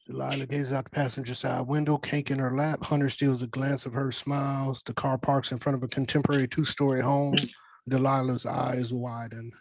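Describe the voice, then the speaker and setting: A man's voice: mid-range voice, little pitch movement, fairly quick, gravelly, dry recording, low-quality recording. A man acting as narrator and director of a script read, reading stage directions aloud.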